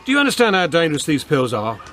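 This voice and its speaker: An actor playing an older, earnest British police constable in a radio drama, questioning firmly but patiently. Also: male voice, fairly high pitch, huge pitch swings, quick pace, a bit bright, a little hiss or hum.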